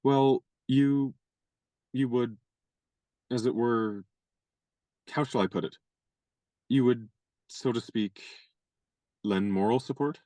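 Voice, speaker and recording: An actor playing a chivalrous, genial young gentleman adventurer in a radio play, speaking in courtly, elaborate and playfully pompous phrases. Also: medium pitch, takes it slowly, long pauses, dry recording, lightly acted. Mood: persuasive.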